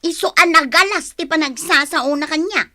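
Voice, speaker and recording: Radio comedy casting: vain young woman, extremely high, bright, whiny, boastful, always bickering. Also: moderate pace. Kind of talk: Ilocano radio comedy drama acting.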